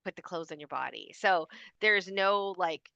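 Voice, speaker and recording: A woman's voice: on the low side, normal speed, vocal fry, pushed and bright, no room sound, dull audio. A woman in her fifties, a personal stylist chatting casually in an interview and acting out what her clients tell her. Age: 50s. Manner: chatty, acts out clients' complaints in quotes.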